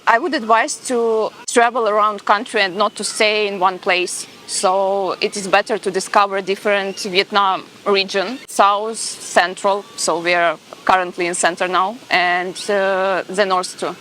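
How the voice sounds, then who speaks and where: A young woman answering interview questions outdoors, speaking carefully with pauses. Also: medium pitch, level tone, a bit bright, slight background noise, rough recording. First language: Ukrainian. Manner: careful, pausing, simple phrasing. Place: beach outdoors.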